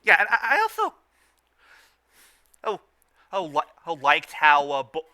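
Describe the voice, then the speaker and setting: A man's voice: on the high side, huge pitch swings, a little slow, bright, forceful tone. A man in a casual fan discussion as a podcast co-host, often stammering and repeating words and phrases as he goes. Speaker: podcast co-host reviewing a cartoon.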